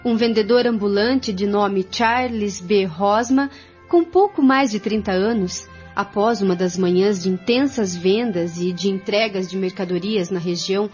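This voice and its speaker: A woman's voice: mid-range voice, measured pace, darkish tone. A woman reading scripted narration for a radio drama. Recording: dull lo-fi audio over music.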